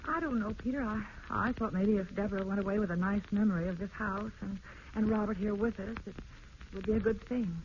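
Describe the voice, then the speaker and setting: A woman playing a gentle, soft-hearted wife in an old-time radio drama, a little hesitant in manner. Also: mid-range voice, a little fast, lightly acted. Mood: hesitant, anxious. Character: gentle, wistful wife and hesitant would-be mother. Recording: dull, noisy old radio recording.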